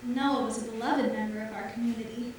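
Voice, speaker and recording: A woman's voice: a little high, fairly even intonation, fast, a little mellow, slight background noise, natural voice. A woman playing an upbeat, polished colony leader in a staged musical. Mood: subdued, evasive. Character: upbeat, evasive colony leader daughter, youthful-seeming at 210. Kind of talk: staged musical theater acting. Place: theater stage, piano music behind. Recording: echoey theater from far off, music behind.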